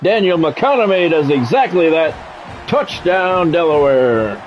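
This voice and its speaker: A man's voice: on the high side, animated, measured pace. An American man doing play-by-play commentary on a football game in short, clipped announcer phrases. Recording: dull lo-fi sound, music behind.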